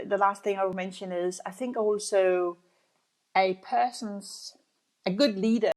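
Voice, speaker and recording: A middle-aged Danish woman with a Danish accent, answering interview questions thoughtfully, with many pauses and restarts. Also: medium pitch, a little mellow. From Denmark. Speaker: guest, audit executive.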